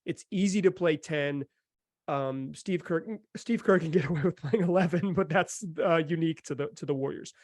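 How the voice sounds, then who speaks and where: A man's voice: on the high side, normal speed, a little mellow, no room sound. A man hosting a sports podcast, talking informally and repeating phrases for emphasis, close on the microphone. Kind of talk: casual sports talk and analysis.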